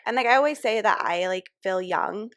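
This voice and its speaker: A young woman co-hosting a podcast, a little raspy today, chatting playfully and trailing off mid-thought. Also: mid-range voice, normal speed, dry recording, studio quality. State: a little raspy from concert screaming. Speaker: podcast co-host. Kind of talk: casual chat.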